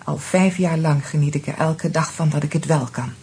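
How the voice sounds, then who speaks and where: An actress playing a bitter, independent divorced wife in a radio play, speaking in a measured, pointed way. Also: female voice, deep voice, steady tone, warm tone, breathy edge, full character voice. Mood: defiant, composed. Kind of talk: naturalistic radio drama dialogue.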